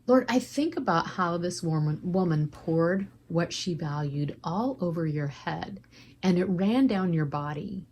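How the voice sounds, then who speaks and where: Low-voiced woman leading a guided meditation. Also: lively intonation, unhurried, darkish tone, slight background noise.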